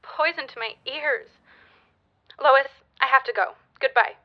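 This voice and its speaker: A woman's voice: high voice, measured pace, muffled recording. An actress playing an anxious, earnest schoolgirl who speaks formally and urgently in a period audio drama, heard as on a telephone. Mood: grave, worried.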